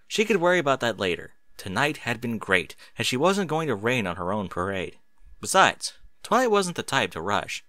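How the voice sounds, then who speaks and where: Male audiobook narrator, scripted fiction, crisp bright delivery with lively pitch movement. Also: normal speed, dry recording.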